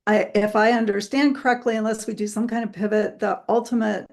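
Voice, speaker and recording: Gravelly-voiced woman hosting a webinar. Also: mid-range voice, steady tone. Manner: friendly, chatty, with frequent "um" pauses. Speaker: host and therapist. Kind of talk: casual webinar introduction.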